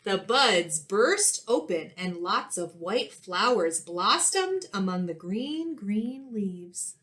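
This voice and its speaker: A woman in her thirties, an elementary teacher reading a storybook aloud and giving instructions in a clear, expressive way for young children. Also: mid-range voice, slow pace. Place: small indoor classroom-like room.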